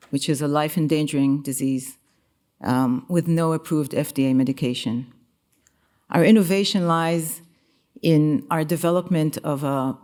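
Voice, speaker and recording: Female executive, low-pitched, delivering formal symposium remarks in a measured, slightly halting way. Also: a little mellow. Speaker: company chief executive giving opening remarks. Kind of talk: prepared conference speech. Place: conference venue in a museum.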